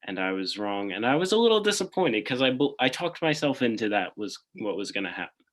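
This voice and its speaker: A man with a low voice and a bright, forceful edge, co-hosting a podcast. He talks casually and thinks out loud, hedging as he goes. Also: very animated, fairly quick. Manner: thinks aloud and hedges often. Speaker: podcast co-host. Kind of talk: casual chat.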